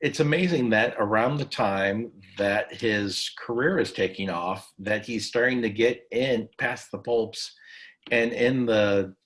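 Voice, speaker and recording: Male interviewer, lowish pitch, slow and halting conversational delivery in short phrases. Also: a bit bright. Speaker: interviewer, session host.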